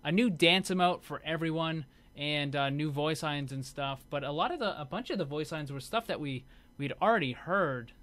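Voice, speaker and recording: Bright-voiced man doing casual gaming commentary. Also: a little high, moderate pace. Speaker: gaming video host. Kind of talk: casual commentary over game footage.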